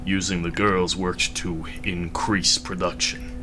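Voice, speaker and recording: Man as a low-voiced dutiful police chief. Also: slow, full character voice. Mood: uneasy, deferential. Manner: colloquial, hesitant, deferential. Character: male police chief, dutiful, gruff, plain-spoken subordinate. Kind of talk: radio drama acting. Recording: steady background noise over voice.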